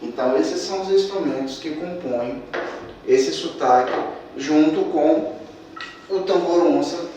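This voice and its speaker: A man's voice: on the high side, a little slow, warm tone, slight background noise. A man teaching a percussion workshop, counting beats aloud as he demonstrates drums. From Maranhão, Brazil. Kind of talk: instructional demonstration. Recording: echoey room, mic at a distance. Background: percussion instruments being played.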